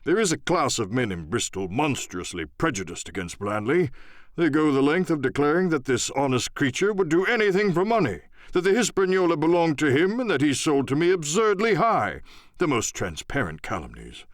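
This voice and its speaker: Man acting a pompous, excitable country squire, swooping pitch. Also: normal speed, no room sound, studio quality. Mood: indignant, pleased. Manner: effusive, exclamatory, pompous.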